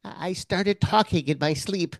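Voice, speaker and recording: A woman's voice: on the low side, moderate pace, no echo, close to an everyday voice. A woman playing a timid, eager middle-aged male prisoner in a radio drama, stammering and ingratiating. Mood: nervous, confiding.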